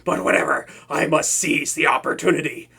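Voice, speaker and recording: A man's voice: a little high, brisk, vocal fry, pushed and bright, slightly rough, full character voice. A man playing a flustered, boastful young male supervillain who stammers and swings between moods. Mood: excited. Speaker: voice actor. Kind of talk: hobby audio roleplay to a listener.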